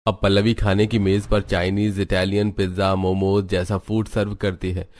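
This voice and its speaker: Low-voiced man narrating a story. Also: level tone, normal speed, darkish tone. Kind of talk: scripted storybook narration in Hindi cartoon.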